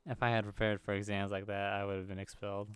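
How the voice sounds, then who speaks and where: A man's voice: fairly low pitch, flat delivery, fast, clean tone, no room sound. A man hosting gaming videos, reading a visual novel's script aloud as he plays.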